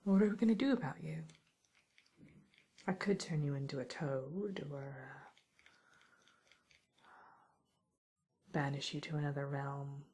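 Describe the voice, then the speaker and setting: A woman playing a wry, teasing witch who scolds an intruder in an ASMR roleplay, speaking softly and often in a whisper. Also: low voice, a little slow, long pauses. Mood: teasing menace. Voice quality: soft, breathy, often whispered. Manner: teasing, musing, mock-stern, theatrical.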